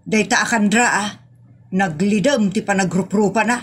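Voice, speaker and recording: A woman's voice: mid-range voice, moderate pace, noisy background. A woman playing a grumbling, defensive aunt in a comedy audio drama.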